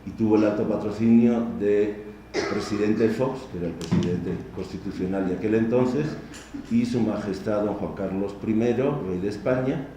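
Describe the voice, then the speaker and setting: A man's voice: fairly low pitch, mellow, a little hiss or hum. Spanish-accented man lecturing in echoey hall. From Spain. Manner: measured, explanatory, addresses the audience directly. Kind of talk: conference lecture. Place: large conference hall. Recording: distant, echoey hall recording.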